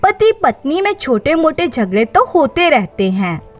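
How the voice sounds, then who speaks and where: A woman's voice: sits high, sing-song, unhurried, soft background music. A woman voicing a domineering, hypocritical older mother-in-law with an authoritative, manipulative manner. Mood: dismissive.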